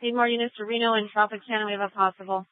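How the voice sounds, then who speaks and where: Quick police dispatcher relaying calls, super tinny radio, no echo. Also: medium pitch, little pitch movement.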